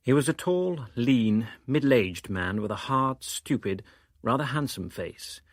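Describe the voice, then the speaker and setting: A man narrates literary prose from a script. His voice sits at an ordinary male pitch. The recording is dry and close, with very little room sound. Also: expressive, unhurried, dry recording. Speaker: reader of novel extracts.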